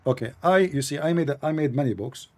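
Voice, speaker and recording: A man's voice: fairly high pitch, a little fast, darkish tone, slight background noise. A man whose first language is Arabic, hosting a call-in show and pressing callers with repeated, insistent questions in non-native English. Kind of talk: heated call-in debate.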